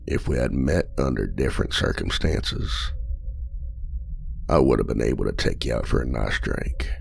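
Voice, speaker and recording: A man's voice: slight background noise, heavily acted. A man playing a charming imprisoned male outlaw trickster in an ASMR roleplay, teasing and flirtatious in a silky voice. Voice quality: deep gravelly voice.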